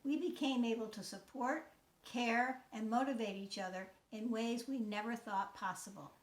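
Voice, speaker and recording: Woman, 60s, teacher reading a talk, earnest, a little high-pitched, roomy recording. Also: steady tone, normal speed. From United States. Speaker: teacher and mentor. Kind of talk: scripted talk, read aloud.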